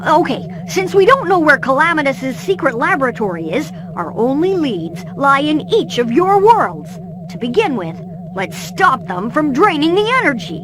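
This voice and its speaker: A woman's voice: high-pitched, very animated, moderate pace, a crowd in the background. A woman voicing a brainy, earnest boy inventor who explains things confidently in a cartoon video game. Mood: determined.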